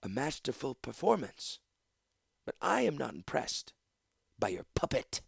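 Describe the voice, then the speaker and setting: Fantasy story elder: imperious old woman played by a man, higher pitch, measured and disdainful. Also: sing-song, a little mellow, no echo, studio quality. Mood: cold. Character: stern, cold, aged female council servant, imperious.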